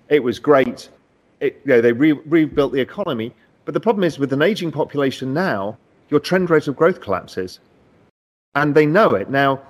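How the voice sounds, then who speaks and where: A man talks through economic trends with easy confidence. He leans hard on his key points and keeps it conversational. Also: mid-range voice. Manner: confident, explanatory, animated emphasis. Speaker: macro analyst in a finance interview. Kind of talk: conversational market discussion.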